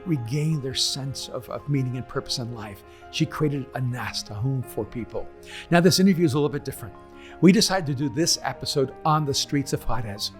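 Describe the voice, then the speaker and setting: A man hosting an interview podcast outdoors on a city street, talking in an earnest, effusive way. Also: mid-range voice. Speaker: podcast host.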